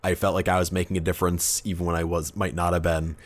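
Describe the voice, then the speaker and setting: A man with a deep, low voice chats as a podcast co-host. His talk is informal and slangy, with the odd curse, in loose conversational phrasing. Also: little pitch movement, fast. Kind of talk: casual chat about tabletop wargaming.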